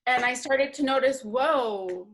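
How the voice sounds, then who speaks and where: A woman's voice: high voice, a little slow, fairly bright tone. A woman from Guatemala telling a group her personal story in an earnest way. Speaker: workshop participant.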